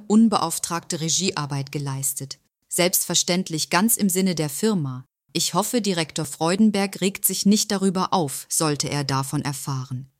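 A woman's voice: low voice, no echo, clean studio sound. A woman playing a smug, scheming company confidante in an audio drama monologue, slyly self-satisfied with feigned innocence. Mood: smug, coy.

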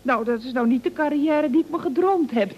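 An actress playing a cheeky, dry-witted office receptionist in radio comedy, with a mock-formal, teasing manner. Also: female voice, sits high, brisk, a little hiss or hum, close to an everyday voice. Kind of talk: 1970s Dutch radio comedy acting. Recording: dull old radio recording.

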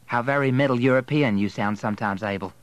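A man voicing a haughty young Southern lady, prim, brisk and condescending, in an audiobook novel. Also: mid-range voice, no echo, close to an everyday voice. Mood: light, teasing.

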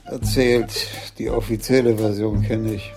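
An actor playing an authoritative police commissioner in a radio crime drama, speaking in a measured, commanding way. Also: male voice, fairly low pitch, steady tone, over music, lightly acted. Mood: calm, shrewd.

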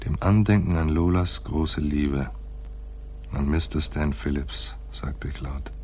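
An actor playing a laconic, world-weary hardboiled private detective in a radio play, speaking in a terse, deadpan manner. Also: male voice, rumbling low, takes it slowly, slight background noise. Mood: wistful, resigned. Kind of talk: German radio play acting with noir first-person narration.

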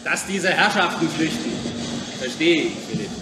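A man's voice: fairly high pitch, lively intonation, moderate pace, recorded from a distance, lightly acted. A male actor in a radio play voicing a hot-headed working-class stoker, urgent and insistent. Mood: pleading, exasperated. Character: hot-headed working-class young man, a pleading, defiant locomotive stoker. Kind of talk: radio drama acting. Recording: echoey hall, off-mic, music behind.

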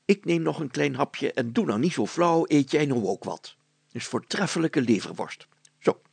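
A man's voice: medium pitch, expressive, normal speed, creaky, slightly rough, no echo. A man voicing a pompous, gullible, greedy older village mayor in a storybook reading, chatty and full of himself. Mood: self-satisfied.

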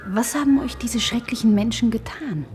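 A woman's voice: fairly high pitch, moderate pace, darkish tone, soft background music, natural voice. An actress playing a fond, curious, solicitous woman in a science-fiction radio play.

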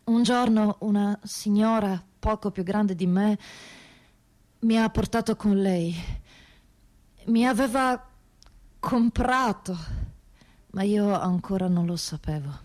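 Actress as young migrant woman, measured and deliberate. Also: medium pitch, darkish tone, lightly acted. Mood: sober recounting. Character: young migrant woman, eldest sister, trafficked, plainly recounting hardship. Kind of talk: dramatic monologue in radio theatre.

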